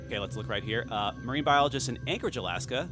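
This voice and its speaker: Quick chatty guy reading a podcast ad, music underneath. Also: medium pitch, expressive. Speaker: podcast writer and creator reading a sponsor ad. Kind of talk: chatty, bantering host-read ad.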